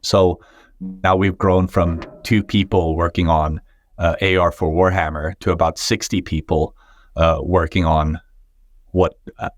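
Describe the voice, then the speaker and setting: A man's voice: low-pitched, no echo, studio quality. A man from Sweden whose first language is Swedish, a startup founder answering interview questions thoughtfully, with many fillers and restarts. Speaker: guest, tech startup founder.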